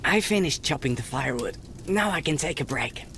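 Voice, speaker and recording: Lively, earnest young boy. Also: mid-range voice, brisk, slight background noise. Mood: dutiful, cheerful. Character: earnest, dutiful young boy, caring big brother. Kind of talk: fairy-tale adventure game voice acting. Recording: music behind the voice.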